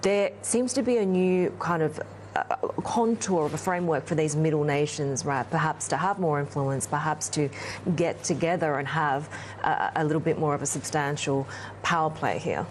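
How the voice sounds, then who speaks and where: A woman from Australia, a news anchor, discussing global affairs in a live broadcast with thoughtful, halting phrasing. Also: sits low, slight background noise. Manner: thoughtful, hesitant restarts, conversational. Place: on location in Beijing.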